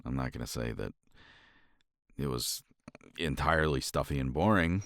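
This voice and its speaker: Very deep gravelly man, soft and intimate. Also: normal speed, no echo, studio quality. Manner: tender, reassuring partner talk to a listener. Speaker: ASMR roleplay performer. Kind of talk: romantic ASMR roleplay, intimate soft talk.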